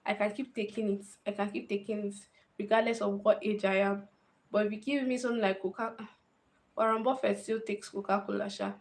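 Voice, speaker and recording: A woman's voice: medium pitch, little pitch movement, fairly quick. A woman, talking casually to camera, thinking aloud and often repeating phrases. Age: 20s. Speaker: vlogger.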